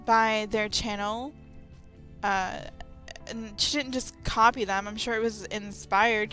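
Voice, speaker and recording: A woman's voice: on the high side, pushed and bright. A woman giving casual, rambling opinion commentary as a YouTuber, with sarcastic asides. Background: light background music.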